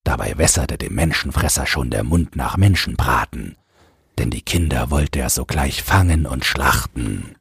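A man's voice: rumbling low, lively intonation, unhurried, vocal fry, slightly rough, dry recording. A man narrating a scripted fairy tale as an audiobook storyteller, close to the microphone. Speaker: narrator, podcast host.

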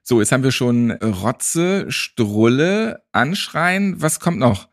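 A man's voice: mid-range voice, lively intonation, slow. A man hosting a casual conversation, asking questions and chatting informally. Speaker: podcast host, interviewer. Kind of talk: casual chat.

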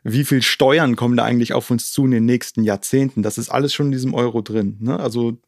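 A man from Germany with a mid-pitched voice talks as an interview guest. He explains step by step and in detail, often adding little confirmation tags. The sound is dry, with very little room. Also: steady tone, fast talker, dry recording. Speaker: conservation organisation co-founder, interview guest.

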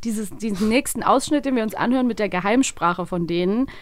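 A woman's voice: mid-range voice, a little fast. A woman co-hosting a podcast, chatting casually and animatedly about radio plays. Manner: animatedly quotes and mimics lines. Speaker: podcast co-host; voice actress.

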